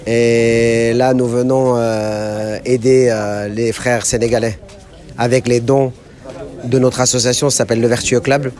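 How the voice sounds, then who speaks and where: A man born and raised in France, a charity member speaking hesitantly with many pauses and fillers in an on-site interview. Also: medium pitch, little pitch movement, darkish tone. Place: outdoors at a Quranic school.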